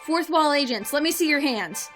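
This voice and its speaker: A woman acting as a determined agent heroine in an audio drama, brisk and dry. Her voice sits fairly high and carries authority, with music clearly playing behind it. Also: animated. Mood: commanding. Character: determined, commanding heroine agent, dryly patient. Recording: background music under the voice.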